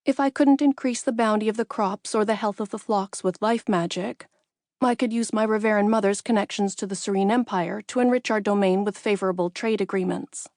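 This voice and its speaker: A woman's voice: mid-range voice, a little fast, darkish tone, no echo, clean studio sound. A woman reading a first-person fantasy audiobook as a scripted narrator.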